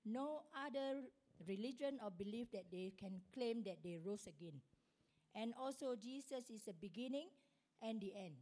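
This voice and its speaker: A woman with a Malaysian accent talks on a panel. Her voice sits a little high. Her phrasing is informal and somewhat halting. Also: expressive, dark tone.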